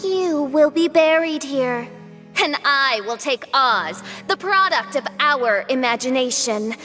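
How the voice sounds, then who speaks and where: A theatrical young princess in an anime game. The voice is high and forcefully bright, speaking in slow, grandiose, formal phrases over a music backing. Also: female voice, lively intonation, exaggerated voice. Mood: menacing, haughty. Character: theatrical young girl princess facing her cruel dark double.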